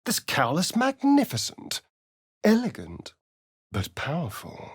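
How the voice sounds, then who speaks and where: A vain, sly male vampire for a fantasy video game. His pitch swoops widely while he takes his time over each arch, sardonic phrase. The sound is dry and close, with no room on it. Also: wildly swooping pitch, takes it slowly, husky, dry recording, full character voice. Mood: flamboyant, arch. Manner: theatrical, arch, sardonic drawl. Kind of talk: video game companion voice lines and narration.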